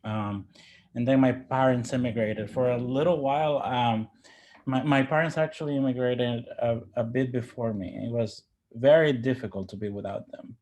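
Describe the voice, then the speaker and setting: A man's voice: mid-range voice, steady tone, darkish tone. Hesitant, reflective man from Ecuador. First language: Spanish. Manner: halting, thoughtful, with repeated word starts. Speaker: interview guest, physician. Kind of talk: personal reflection in an interview.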